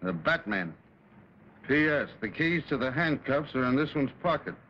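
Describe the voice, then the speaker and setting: An American man playing a gruff, brisk police captain with curt authority in a 1940s film serial. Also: mid-range voice, animated, natural voice. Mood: wry. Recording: muffled 1940s film soundtrack, faint noise.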